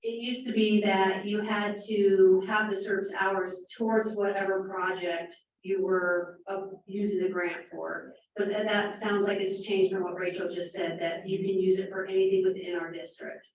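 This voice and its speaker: Loud-voiced woman chairing a meeting. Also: medium pitch, little pitch movement, moderate pace, few pauses, slight background noise. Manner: loud, direct, explains at length. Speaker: neighborhood district chair. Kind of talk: community meeting discussion. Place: city hall community room. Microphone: handheld mic, from a distance. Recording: echoey meeting room from far off.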